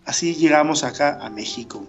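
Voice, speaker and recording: A man with a fairly high voice, talking as a radio guest, thoughtful and full of hesitations and restarts. His voice comes over a dull, lo-fi remote line. Soft music plays faintly underneath. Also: brisk. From born in Argentina, raised in Chile. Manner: reflective, many fillers and restarts. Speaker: pastor and interview guest. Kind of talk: casual interview over a remote call.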